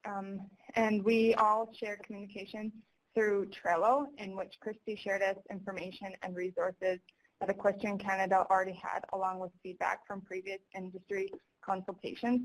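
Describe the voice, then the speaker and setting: A young woman speaks as a student presenter on a conference call. Her delivery is formal and explanatory, as in a prepared report, with a mid-range pitch. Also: low-quality recording. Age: young adult.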